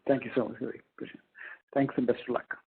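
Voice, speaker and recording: A male analyst asks a polite, tentative question on a conference call. He talks fast in a mid-pitched, husky voice, heard through a narrow phone line. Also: little pitch movement. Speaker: financial analyst asking questions. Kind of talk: follow-up question on an earnings call.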